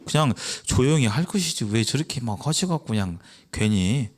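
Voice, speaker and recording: A man's voice: expressive, moderate pace. A young man preaching an evangelistic sermon. He keeps asking the congregation questions and answers them himself. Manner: acts out children's voices in a brief high voice.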